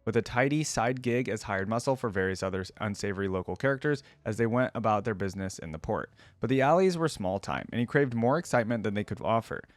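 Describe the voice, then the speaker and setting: A man's voice: fairly low pitch, expressive, fast talker, few pauses, dry recording. A man hosting a reaction video, reading game lore aloud and breaking off for casual comments.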